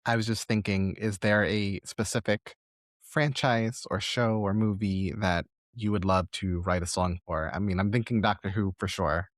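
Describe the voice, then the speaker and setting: A man with a fairly low voice hosts a conversational interview. He is encouraging and generous with praise. The sound is dry, with very little room on it. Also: fairly even intonation, normal speed, no echo. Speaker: podcast host interviewing a guest.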